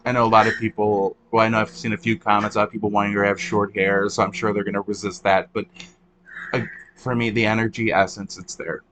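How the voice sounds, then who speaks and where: A man's voice: on the low side, little pitch movement, quick pace, a bit bright, a little hiss or hum, rough recording. A man hosting a casual reaction chat, talking in a rambling way with restarts. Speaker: host.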